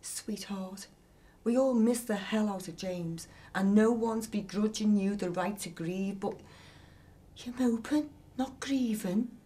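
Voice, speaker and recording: A woman's voice: medium pitch, slow pace, warm tone, close to an everyday voice. A woman voicing a frank, teasing woman friend in an audiobook, affectionate but blunt and chiding. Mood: gentle chiding.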